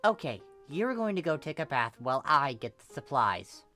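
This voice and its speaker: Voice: medium pitch, sing-song, normal speed, bright, forceful tone, faint music behind, pitched above the performer's own voice. A performer voicing an affectionate young woman, a teasing big sister with a tender, playful manner, in a story reading.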